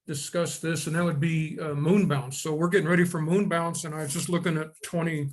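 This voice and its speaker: A man speaking as a participant in an informal online meeting, in a tentative, halting way. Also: on the high side, little pitch movement. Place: online conference call.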